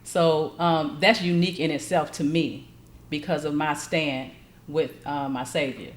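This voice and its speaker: A woman from Chicago, a podcast guest who talks in a warm, affirming way with frequent agreement. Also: low voice, steady tone, unhurried, reverberant. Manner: warm, affirming, lots of mm-hmms. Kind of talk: casual conversation.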